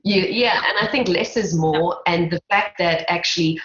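A woman's voice: lowish voice, moderate pace, fairly bright tone. A woman with a South African accent, speaking reflectively and articulately as a guest in a conversational interview about her own brand. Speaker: podcast guest, skincare brand founder. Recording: roomy, slightly dull sound.

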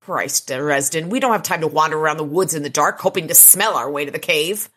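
A woman's voice: deep voice, brisk, fairly bright tone. A woman voicing a blunt, exasperated, fiery tough woman from an action novel as she reads it aloud. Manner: blunt, exclaiming.

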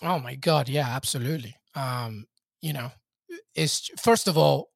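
A man's voice: medium pitch, measured pace, vocal fry, husky, no room sound. Reflective Peruvian-born man, dry sound. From Peru. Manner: reflective, lots of fillers and restarts. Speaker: podcast guest. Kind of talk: casual podcast chat.

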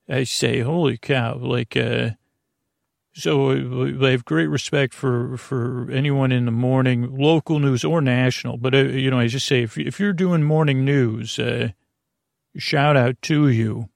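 A man hosting a bedtime podcast in a creaky voice, wandering haltingly through tangents and tripping over his words. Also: medium pitch, steady tone, no echo, clean studio sound. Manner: meandering, halting, digressive, stumbling over words. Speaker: podcast host. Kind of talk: rambling bedtime podcast monologue.

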